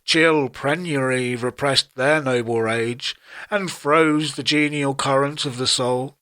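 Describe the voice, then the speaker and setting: A man reciting classic poetry in a solemn, formal style, with measured and deliberate phrasing. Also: mid-range voice, fairly even intonation, fairly bright tone. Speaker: poetry reader.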